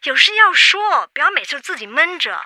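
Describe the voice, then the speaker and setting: Piercingly bright, brash young friend, Taiwanese Mandarin. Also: female voice, sits high, very animated, normal speed, no room sound, full character voice. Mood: worried. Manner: blunt, teasing, exclamatory. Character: brash, nosy, worried young best friend. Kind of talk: radio drama acting.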